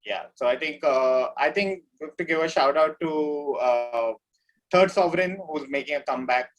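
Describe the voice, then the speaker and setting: A man's voice: fairly high pitch, moderate pace, rough recording. A man with an Indian accent speaking as a musician guest in a casual interview, rambling and informal with slangy wording. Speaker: drummer in a death metal band, interview guest.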